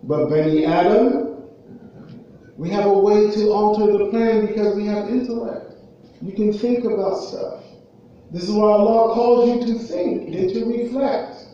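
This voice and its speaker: A man with an American accent preaching a sermon in an emphatic way, repeating phrases and pausing between them. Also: high-pitched, steady tone, mellow, slight background noise. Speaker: preacher giving a Friday khutbah. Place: indoor prayer hall, at a raised pulpit. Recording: muffled, very echoey hall, far from mic.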